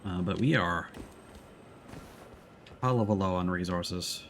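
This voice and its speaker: A man's voice: deep voice, slow, fairly bright tone, slight background noise. A man doing casual live game commentary, thinking aloud and talking playfully to himself and the game. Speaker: streamer.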